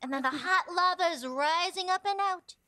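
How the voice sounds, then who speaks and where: A woman's voice: very high voice, normal speed, very noisy. A woman voicing a friendly seven-year-old girl puppet who guides others step by step, on a television studio set. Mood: encouraging.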